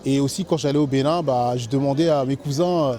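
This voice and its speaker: A man from France giving a personal testimony in an interview as a food truck chef, speaking haltingly and repeating words as he searches for phrasing. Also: medium pitch, dark tone.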